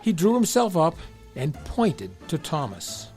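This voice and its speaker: A man's voice: a little high, huge pitch swings, a little slow, a little mellow, soft background music. A man reading a scripted children's story as the narrator.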